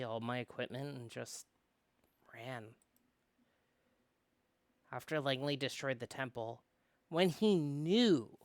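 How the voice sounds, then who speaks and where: Earnest runaway rookie officer for a visual novel, read by a man; slow, hesitant delivery, smooth tone, dry sound. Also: mid-range voice, no room sound. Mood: pleading, guilty. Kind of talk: amateur visual novel read-aloud acting.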